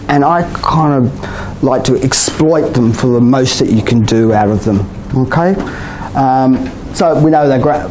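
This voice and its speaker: A man with an Australian accent teaches a live class in a casual, chatty way, tagging his points with quick questions to the room. His voice sits at a middle pitch, and light background noise runs under the recording. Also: darkish tone, poor audio. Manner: chatty, many "okay?" and "you know?" tags, laughs often. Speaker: IELTS teacher. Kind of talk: classroom lecture.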